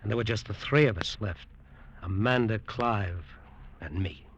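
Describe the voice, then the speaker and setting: A man's voice: lowish voice, measured pace, highs cut off, slight background noise, natural voice. A man acting in an old radio suspense drama as a desperate, greedy resort builder who narrates his own downfall in an intense, hard-boiled way. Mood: tense, brooding. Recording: old radio recording with music.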